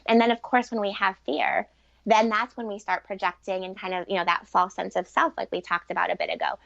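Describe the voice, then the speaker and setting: A woman's voice: medium pitch, level tone, a little fast, creaky, fairly bright tone, no room sound, muffled recording. A woman giving earnest, fluent explanations as a relationship expert being interviewed, speaking conversationally at length. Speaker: guest relationship expert and author.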